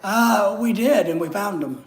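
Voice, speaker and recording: A man's voice: sits high, expressive, unhurried. A man with a Southern US accent chatting casually on a live stream, rambling and greeting viewers by name in a rental house kitchen. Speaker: vlogger. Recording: roomy echo.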